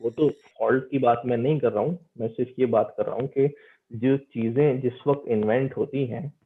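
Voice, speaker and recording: A man's voice: mid-range voice, steady tone, moderate pace, phone-quality sound. Man lecturing informally, repeating for emphasis. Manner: explanatory, repeats phrases for emphasis. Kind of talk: informal interactive online lecture.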